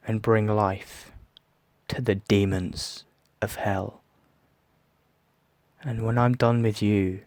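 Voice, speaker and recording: A man's voice: fairly low pitch, a little mellow, dry recording. A man playing a menacing, grandiose villain in an audio drama, gloating and taunting with theatrical cruelty. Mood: gleeful threat. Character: menacing theatrical male villain lurking in the shadows, gloating sadist.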